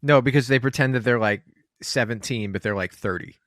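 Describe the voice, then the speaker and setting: A man's voice: mid-range voice, a little fast, fairly bright tone, no room sound, studio quality. A man co-hosting a podcast, joking around with sarcastic asides. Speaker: podcast co-host. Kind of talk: casual podcast chat.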